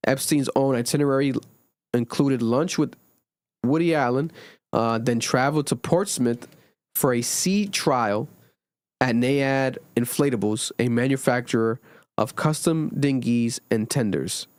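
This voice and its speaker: A man in his twenties hosting a casual podcast, talking animatedly and jumping high in pitch when he jokes. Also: unhurried, darkish tone, dry recording. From Rhode Island, USA. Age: 20s. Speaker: podcast host. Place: home recording during a blizzard.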